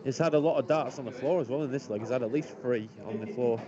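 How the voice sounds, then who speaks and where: Male darts commentator, calm and technical, loud crowd behind, dull stream audio. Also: medium pitch. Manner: measured, technical, calls scores.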